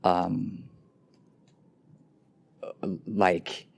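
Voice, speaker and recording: A man talking casually as a podcast guest, relaxed and thoughtful, with fillers. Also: low voice, drawn-out words, gravelly, no room sound, clean studio sound. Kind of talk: casual conversation.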